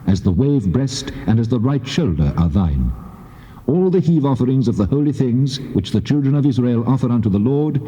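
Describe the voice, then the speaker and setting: An actor playing the God of Israel in dramatised scripture, solemn, measured and commanding, with heavy reverb on his voice. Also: medium pitch, warm tone. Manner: solemn, measured, authoritative declaiming. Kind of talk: dramatised scripture reading in King James English. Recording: muffled tape, long cavernous reverb.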